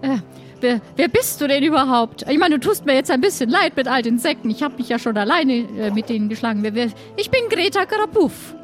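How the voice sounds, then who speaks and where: A woman acts out a curious, sympathetic townswoman in an improvised audio play. The voice is high and very animated in pitch. She stammers and doubles her words, with soft music behind. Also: a little fast. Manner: stammering, repeats words in surprise. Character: curious, sympathetic, chatty townswoman among pirates.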